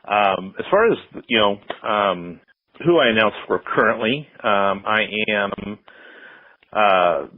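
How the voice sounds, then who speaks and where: Low-voiced American man on a roomy, thin line. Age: middle-aged. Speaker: interview guest, sports public address announcer. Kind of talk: casual conversational interview answers. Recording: roomy, slightly muffled phone-like line.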